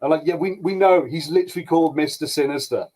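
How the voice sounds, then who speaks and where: A man's voice: a little high, fast talker, dark tone. A man talking as a podcast co-host in a casual review chat, hesitant and colloquial as he circles back to correct himself.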